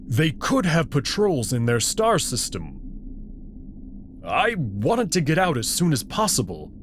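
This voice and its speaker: A man's voice: a little high, huge pitch swings, a little slow, slight background noise. A man voicing an anxious, regretful male alien wolf in a visual novel reading. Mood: worried.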